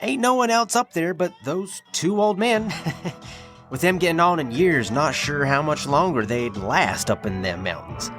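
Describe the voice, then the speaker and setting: An actor playing a wily, guarded American frontier shopkeeper in an audio drama, folksy in manner. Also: male voice, fairly high pitch, lively intonation, measured pace, fairly bright tone. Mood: sly. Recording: music clearly behind the voice.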